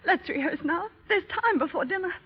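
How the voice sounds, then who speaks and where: An actress playing an anxious young wife, breathless and pleading, in a 1930s radio drama. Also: female voice, very high voice, lively intonation, fairly quick. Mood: frantic pleading. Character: frantic, anxious young wife hiding a secret. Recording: muffled old radio recording, music behind.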